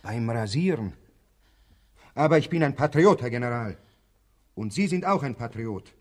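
Male actor as middle-aged barber-executioner, mid-pitched, servile, halting, repetitive, haunted, radio play. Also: expressive, darkish tone, lightly acted. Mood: obedient, earnest. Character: servile, haunted barber and executioner, rambling simple soldier type. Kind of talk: German radio drama acting.

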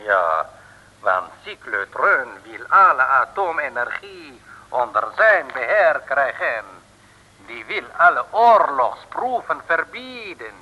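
Slow, sinister secret agent villain. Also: male voice, medium pitch, bright, forceful tone, breathy edge, heavily acted. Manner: slow, measured, pompous pronouncements. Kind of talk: 1950s Dutch radio play acting. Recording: old radio recording with long reverb.

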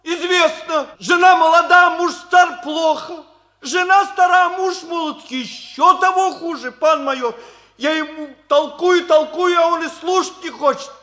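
Indignant hussar orderly, very high bright voice, blunt, folksy, stubborn, vintage radio comedy. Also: male voice, a little slow, full character voice. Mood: indignant, flustered. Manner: deferential yet blunt, folksy, repetitive. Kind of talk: 1960s Soviet radio comedy acting. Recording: vintage 1960s radio play recording.

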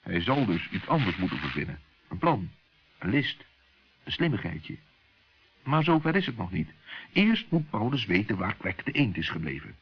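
A man narrating a children's radio story in an expressive storytelling style with a husky voice. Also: fairly low pitch, normal speed. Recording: muffled old radio play recording.

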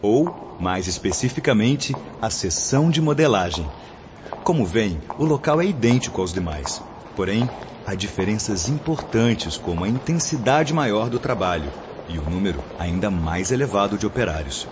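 An actor playing a calm, didactic male guide from the spirit world in a radio drama, speaking in a solemn, measured way. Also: on the low side, natural voice. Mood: solemn, explanatory. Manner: solemn, instructive, measured enunciation. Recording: muffled, reverberant hall, noisy radio-drama recording.

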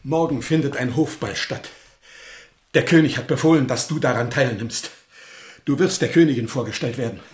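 An actor playing an agitated, jealous count in a German radio drama, theatrical and swinging between hushed remorse and forceful command. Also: male voice, medium pitch, moderate pace, echoey room, close to an everyday voice. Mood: urgent pleading. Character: agitated jealous count, a husband regretting a reckless wager.

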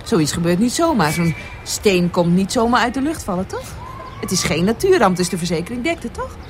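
An actress playing a practical, soothing young adult daughter in a Dutch radio play, chatty and a little naive. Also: female voice, mid-range voice, big pitch swings, brisk, a little hiss or hum, close to an everyday voice. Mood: practical, puzzled.

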